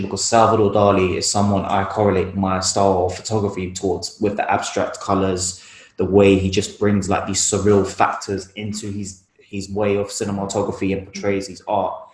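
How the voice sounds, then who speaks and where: A young man with a London accent talking casually and informally about his work as a photographer in an interview. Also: on the low side. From East London, UK. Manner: informal, slangy. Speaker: guest photographer. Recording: roomy, echoey room sound.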